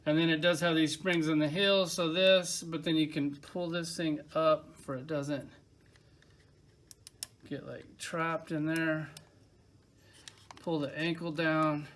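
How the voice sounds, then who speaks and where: Excited man talking about toys. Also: a little high, slow pace, pauses often, slight background noise. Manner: enthusiastic, rambling with many "like" fillers. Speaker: toy collector reviewing his figures. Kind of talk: casual toy review. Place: at home by his collection shelves.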